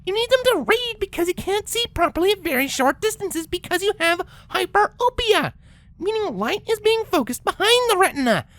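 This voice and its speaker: A woman's voice: very high voice, a bit bright, no room sound, exaggerated voice. A woman voicing a prim, bookish young pony princess in a fan fiction reading, precise and lecturing, patient but exasperated. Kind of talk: character acting in a fan fiction audio reading.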